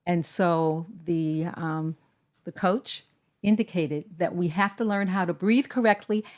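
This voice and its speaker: A woman co-hosts a podcast in relaxed conversation, her voice sitting slightly low. She talks in a measured, deliberate way, laying out advice step by step. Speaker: podcast co-host. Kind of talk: casual conversation.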